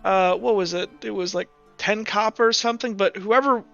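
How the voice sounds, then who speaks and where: A man voicing a nervous, emotional young fellow who talks in a halting, apologetic stammer. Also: high-pitched, vocal fry, fairly bright tone, lo-fi sound, faint music behind, voice pushed up. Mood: sheepish, flustered.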